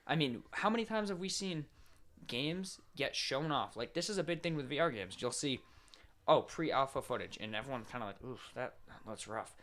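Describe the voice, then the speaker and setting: A man's voice: mid-range voice, animated, moderate pace, fairly bright tone. A man co-hosting a podcast, explaining his opinions in casual, informal banter with some swearing. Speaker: podcast co-host.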